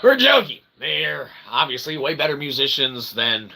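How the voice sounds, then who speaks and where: A man's voice: on the high side, sing-song, moderate pace, gravelly. A man delivering a rambling, joking philosophical monologue to camera as a channel host, with convoluted pseudo-formal phrasing, repetition and casual swearing. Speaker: host of his own channel. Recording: noticeable background noise.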